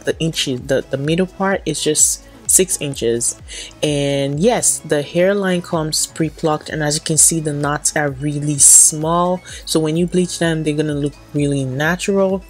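A young woman with a stuffy, nasal voice from a cold, chatting casually to camera as she talks through a hair tutorial. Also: low voice. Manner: chatty, repeats "so yes" and "going in". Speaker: beauty vlogger. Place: small indoor room, plain backdrop.

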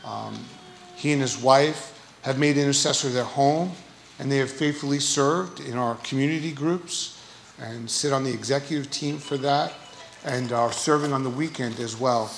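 A man introduces a guest from a church stage, adding a friendly joke or two and a few hesitant fillers. His mid-pitched voice rings out in a roomy hall with a faint hum of ambience behind it. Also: normal speed, vocal fry. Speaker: pastor introducing a speaker.

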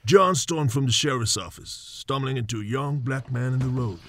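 A man narrating a western radio serial in a measured storytelling manner. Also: medium pitch. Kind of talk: scripted narration. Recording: narration over loud guitar music.

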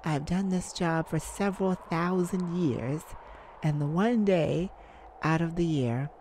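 A woman's voice: lowish voice, takes it slowly, warm tone, slight background noise. A woman voicing a courteous, easily flustered, ageless celestial afterlife caseworker in an ASMR roleplay, hesitant and apologetic. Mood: welcoming, apologetic. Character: polite, flustered, thousands-year-old celestial afterlife caseworker.

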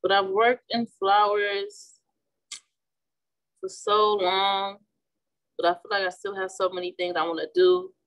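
A woman's voice: medium pitch, measured pace, pauses often, rough recording. A woman in her thirties from Missouri with a Midwestern accent, chatting casually as she demonstrates painting at a flower shop table. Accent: Midwestern American. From St. Louis, Missouri. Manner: chatty, relaxed, restarts often while working. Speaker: florist and class instructor. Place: indoor flower shop worktable.

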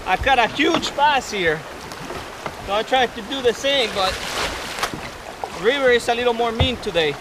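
A man in his thirties vlogging with excitement while fishing from a kayak on a river, switching between Spanish and English. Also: extremely high pitch, takes it slowly, long pauses. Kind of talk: casual vlog narration while fishing. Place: outdoors on a river, wading and kayaking. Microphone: action camera on a kayak. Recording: noisy outdoor river audio.

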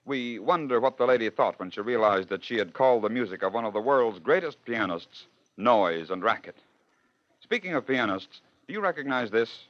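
A man's voice: medium pitch, animated, moderate pace. A man hosting and narrating in scripted 1940s radio style, with a rhetorical storytelling manner. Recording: dull old radio broadcast.

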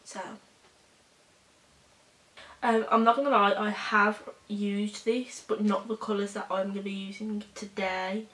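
A young woman with a throat still hoarse from a cold, talking unhurriedly through a makeup tutorial. She rambles and restarts, with plenty of fillers. The room around her is echoey. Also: mid-range voice, little pitch movement, fairly bright tone, a little hiss or hum. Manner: rambling, halting, with many fillers. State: hoarse, recovering from a cold, coughing. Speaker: beauty vlogger. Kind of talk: casual makeup tutorial chat. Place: small bedroom indoors.

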